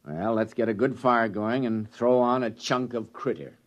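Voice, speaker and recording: A man's voice: medium pitch, moderate pace, dull audio, close to an everyday voice. An actor playing a practical male expedition leader with a wry, blunt way of talking, in 1950s radio drama style.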